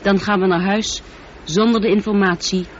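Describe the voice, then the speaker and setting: A woman playing a calm, thoughtful female ship's computer with a precise, measured delivery and a touch of loneliness, in a radio drama. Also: medium pitch, close to an everyday voice. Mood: composed, grave. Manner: precise, factual, composed. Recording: dull, noisy old radio drama recording.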